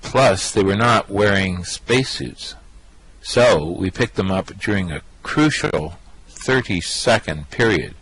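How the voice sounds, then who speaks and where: A man playing a brash, vain, excitable space rogue in a radio play, with cocky, slangy bluster. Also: on the low side, takes it slowly, husky, exaggerated voice, poor audio. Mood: working it out. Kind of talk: amateur radio play acting.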